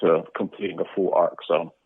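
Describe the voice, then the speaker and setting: A man's voice: deep voice, steady tone, brisk, breathy edge, no echo. A man speaking casually as a guest in an interview, in a conversational way. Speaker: interview guest, comic book creator. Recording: dry, tinny phone-like line.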